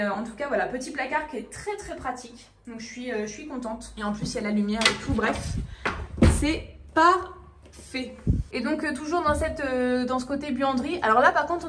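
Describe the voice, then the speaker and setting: A young woman, chatty and informal, giving a casual house tour as a vlogger filming on a handheld phone in empty rooms. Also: on the high side. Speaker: home renovation vlogger. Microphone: handheld phone or camera. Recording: echoey empty rooms, some background noise.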